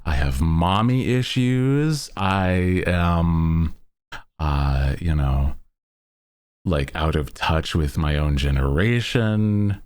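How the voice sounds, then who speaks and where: Very deep-voiced, slow-talking male streamer. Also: animated, a little mellow, smooth. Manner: rambling, self-deprecating, jokey. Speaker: VTuber livestream host. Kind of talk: casual chat with stream viewers.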